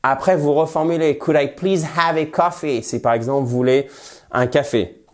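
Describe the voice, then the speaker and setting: A man teaching English phrases in French, with a head cold, lively and friendly, slowing down to model the English. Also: a little high. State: has a cold, slightly nasal voice. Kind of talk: bilingual language lesson at a whiteboard.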